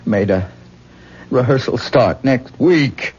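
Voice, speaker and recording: An actor playing a self-absorbed, anxious husband who coaxes and evades in a 1970s radio drama. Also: male voice, mid-range voice, lively intonation, slow, darkish tone, breathy edge. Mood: sheepish, defensive. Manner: coaxing, evasive, pleading. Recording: muffled, dull old radio broadcast.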